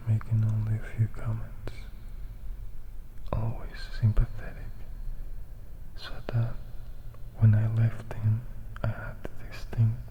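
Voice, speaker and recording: A man's voice: lowish voice, poor audio. A man voicing a melancholy, composed vampire who recounts his past in a reflective, whispered storybook reading. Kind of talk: whispered ASMR audiobook reading.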